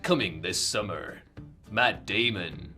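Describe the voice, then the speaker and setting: A man co-hosting a casual podcast, joking and rambling in animated riffs. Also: sits low, slow, music in the background. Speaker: podcast co-host.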